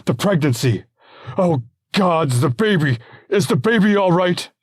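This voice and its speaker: Man doing a panicky warlord dad, pitched well above the narration, loud and frantic, husky. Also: very animated, takes it slowly, dry recording, clean studio sound. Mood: panicked. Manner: frantic, exclaiming questions. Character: anxious young male warlord and worried father.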